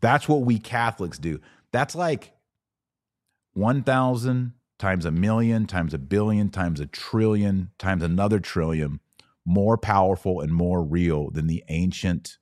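A man's voice: deep voice, lively intonation, a little slow, a little mellow, no room sound, studio quality. An American man hosting a podcast, talking to listeners in an emphatic, didactic way that leans into preaching, repeating phrases for emphasis. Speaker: podcast host. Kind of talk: live chat with listeners turning into preaching.

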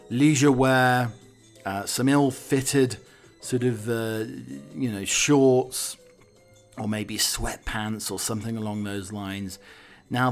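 A man hosting a comic talk on the week's news, wry and digressive, with hesitant asides. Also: mid-range voice. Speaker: podcast host.

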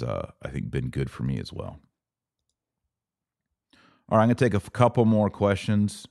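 A man's voice: extremely low pitch, creaky, darkish tone, no room sound, studio quality. A man speaking as a podcast host in an informal, emphatic, slangy way, chatting casually and answering live comments. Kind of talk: casual livestream chat answering viewer comments.

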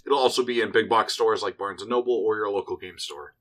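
A man's voice: steady tone, brisk, a bit bright. A man who designed the game, a podcast guest explaining it with enthusiasm in casual chat. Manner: enthusiastic, pitching his game.

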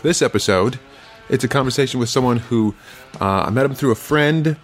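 A man hosts a casual podcast and chats in a loose, meandering way, often restarting his sentences. His voice sits at an ordinary pitch for a man. Faint music plays in the background. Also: brisk. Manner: rambling, friendly, full of restarts. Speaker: podcast host.

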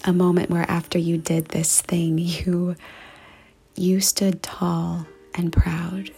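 A woman leading a guided meditation and prayer in a soft, hushed, breathy and creaky voice, speaking deliberately with pauses. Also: fairly low pitch, level tone. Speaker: podcast host and meditation guide. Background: music.

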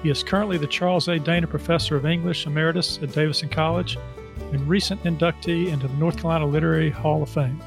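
A man's voice: fairly high pitch, steady tone, quick pace, gravelly, music in the background. A man hosting a podcast, who talks loosely in a chatty way with many fillers. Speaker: podcast host. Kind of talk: podcast interview.

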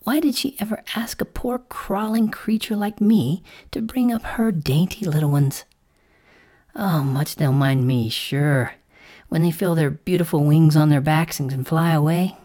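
A woman's voice: deep voice, measured pace, warm tone, pitched above the performer's own voice. A woman voicing a mild, anxious female caterpillar in a storybook, fretful and earnest, given to exclaiming. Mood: fretful, put-upon. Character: mild, anxious green caterpillar, female, kind but indignant. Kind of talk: storybook character voice.

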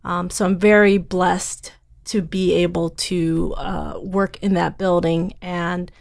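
Woman, startup founder, low pitch, gravelly fry, earnest, step-by-step explanations. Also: flat delivery, slow, darkish tone. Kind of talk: casual interview answers.

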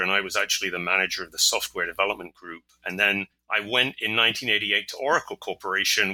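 A man's voice: fairly low pitch, bright, forceful tone, poor audio. A man in his sixties with an English accent, talking enthusiastically as an interview guest. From England. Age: 60s. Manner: chatty and enthusiastic. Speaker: software executive guest. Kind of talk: casual interview talk.

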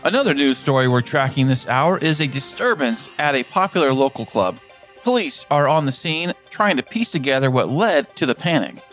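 An actor playing a television news reporter with a crisp newsreader delivery. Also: male voice, medium pitch, very animated, normal speed, fairly bright tone, faint music behind. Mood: formal. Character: male TV news reporter, formal.

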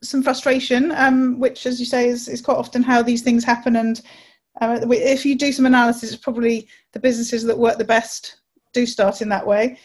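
A woman's voice: a little high, little pitch movement, a little fast. A woman hosting her own podcast, reading her show intro and then interviewing a guest in an upbeat, encouraging, chatty way. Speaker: podcast host. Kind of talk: scripted intro and casual interview.

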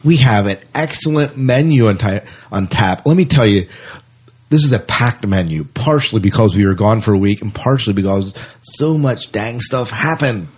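A man with a somewhat husky voice runs a casual podcast chat. He rushes through a long rundown with enthusiasm, leaning on fillers and restarts. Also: on the low side, expressive, a little mellow. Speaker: podcast host.

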